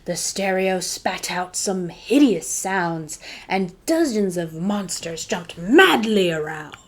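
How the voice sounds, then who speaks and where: A woman reading a storybook aloud to children as a librarian, dramatic and dropping to hushed tones for suspense. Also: fairly low pitch, animated, slow.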